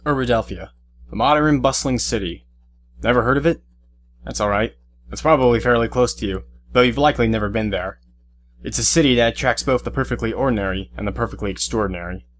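A man narrating a scripted drama in a measured, suspenseful storytelling manner. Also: mid-range voice, a little hiss or hum.

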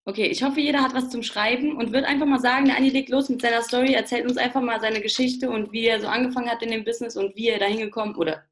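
A woman's voice: fairly high pitch, steady tone, racing, hardly any pauses. A woman in her twenties hosting a video call, introducing a guest in a bubbly, informal way. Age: 20s. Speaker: host of the team call. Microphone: laptop or phone mic, video call.

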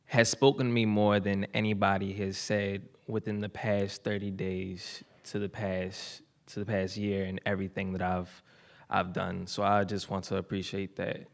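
A young man, a student performer, giving a halting casual introduction on a lecture hall stage into a stand mic. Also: sits low, level tone, vocal fry. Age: teens. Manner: halting, with restarts and fillers. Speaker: student spoken word performer.

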